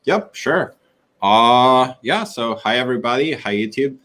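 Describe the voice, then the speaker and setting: A man's voice: medium pitch, expressive, unhurried, a bit bright. A young man from Poland introducing himself as an intern, hesitant with many fillers. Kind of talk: casual self-introduction.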